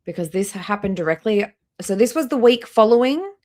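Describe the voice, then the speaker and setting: A woman's voice: mid-range voice, lively intonation, a little fast, a little mellow. A woman hosting a casual reaction livestream, chatting directly to her viewers as she comments. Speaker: livestream host reacting to videos.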